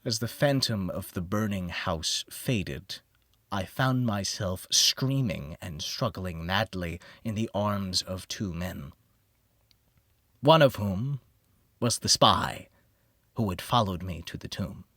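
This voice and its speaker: A man narrating a gothic horror audiobook in the first person, with a theatrical, solemn, deliberate delivery. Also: low-pitched, animated, a bit bright, no echo, clean studio sound. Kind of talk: dramatic scripted narration of a gothic horror story.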